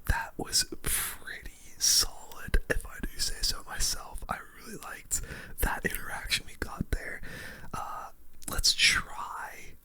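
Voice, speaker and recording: A host giving relaxed, casual game commentary entirely in a breathy whisper, in an ASMR style close to the microphone. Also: takes it slowly. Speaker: gaming video host.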